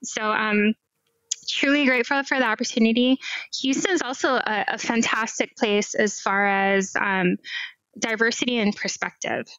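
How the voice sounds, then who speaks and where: A woman's voice: fairly high pitch, fairly even intonation, moderate pace, fairly bright tone, clear, resonant tone, dry recording. Woman guest on a dull video call. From Hawaii. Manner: thoughtful, many small restarts and fillers. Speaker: guest, cloud AI expert. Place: home room with window blinds. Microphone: headset on video call. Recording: slightly dull lo-fi call audio.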